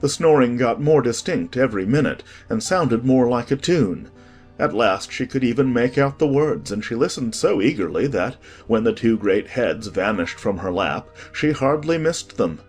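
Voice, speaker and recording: A man narrating a storybook from a script as an expressive audiobook reader. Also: mid-range voice.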